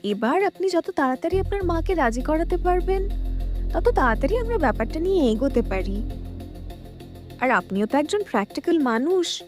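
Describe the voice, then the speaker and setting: A polished businesswoman in an audio drama. Her voice is high and sweet, calm and courteous while she presses her point, with music clearly behind her. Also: moderate pace. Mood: sweetly persuasive. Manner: soft-spoken, courteous, persuasive.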